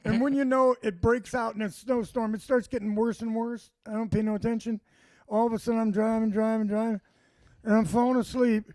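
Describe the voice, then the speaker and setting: A man's voice: high-pitched, a little fast, no echo. A man telling comedy stories in casual chat, acting out what people said. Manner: animated, acts out quotes. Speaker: comedian guest. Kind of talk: casual storytelling.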